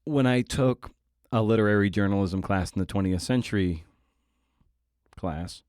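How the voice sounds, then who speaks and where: A man's voice: on the low side, normal speed, a little mellow, no room sound, clean studio sound. A man hosting a podcast, delivering an informal intro monologue with emphatic phrasing and playful asides. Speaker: podcast host.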